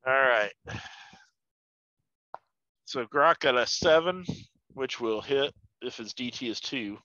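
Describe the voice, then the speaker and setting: A man's voice: mid-range voice, slow, sharp tone, no room sound. A man chatting casually as a player in a tabletop game. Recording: slightly dull, band-limited microphone.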